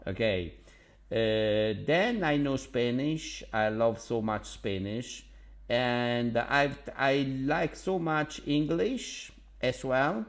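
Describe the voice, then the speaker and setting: Slow halting Italian man. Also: medium pitch. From Naples, Italy. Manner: halting, many fillers and restarts; enthusiastic about languages. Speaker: online language tutor. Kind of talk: casual vlog monologue.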